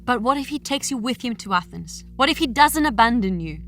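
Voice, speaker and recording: A woman's voice: on the high side, lively intonation, a little fast, a bit bright, soft background music. A woman playing a probing, cutting chorus voice in a theatrical audio tragedy. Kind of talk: dramatic theatrical audio play.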